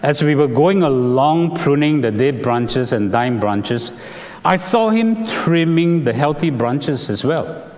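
A Singaporean man delivers a sermon at an unhurried pace, his voice moving expressively up and down. He explains carefully, now and then stopping on an "uh." His words ring out with the long reverb of a large hall. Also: fairly high pitch, lively intonation, darkish tone. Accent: Singaporean English. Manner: measured, explanatory, with "uh" fillers. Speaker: preacher.

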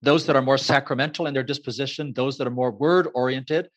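A man who grew up in Ecuador, speaking as an author and guest in a measured, thoughtful way with many fillers. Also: medium pitch, fairly even intonation. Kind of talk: reflective interview answer.

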